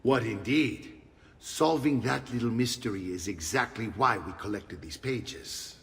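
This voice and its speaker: An actor playing a solemn older Italian uncle and assassin mentor in a game, with an Italian accent and a weighty, paternal storytelling manner. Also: male voice, mid-range voice, measured pace, fairly bright tone, reverberant, long reverb tail, distant mic. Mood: mysterious, grave.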